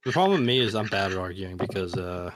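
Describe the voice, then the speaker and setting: A man's voice: low-pitched, animated, fast talker, slight background noise. A man chatting casually as a podcast guest, hesitant and self-deprecating. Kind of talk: casual interview chat.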